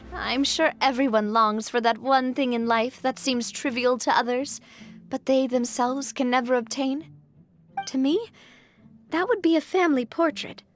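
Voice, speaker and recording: A woman's voice: high-pitched, natural voice. A woman playing an earnest, emotional young woman president in a video game, speaking in a heartfelt, reflective way. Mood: wistful, touched. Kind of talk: video game character dialogue.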